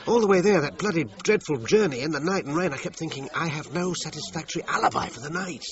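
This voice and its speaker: An actor playing an evasive, self-justifying British musician in a crime radio drama, articulate and emphatic. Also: male voice, on the high side, fast talker, music in the background, natural voice. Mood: agitated confession. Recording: dull lo-fi sound with pub chatter behind.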